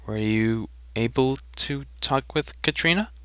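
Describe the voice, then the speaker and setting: Sturdy young cousin acted by a male reader, friendly, dramatic audiobook delivery, clear and dry. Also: mid-range voice, unhurried, a bit bright, no echo, lightly acted. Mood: nervous.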